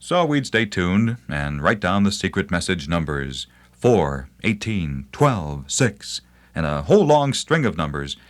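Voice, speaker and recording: A man's voice: low-pitched, very animated, measured pace. A man presenting a scripted promotional narration about old radio, with a wry, nostalgic manner.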